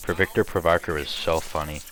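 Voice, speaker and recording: Young guy w a deep raspy voice, just rambling over his game, lots of ums and restarts. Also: steady tone, a little fast, background noise. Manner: rambling, chatty, many restarts and fillers. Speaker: gameplay commentator. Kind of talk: casual let's-play chat.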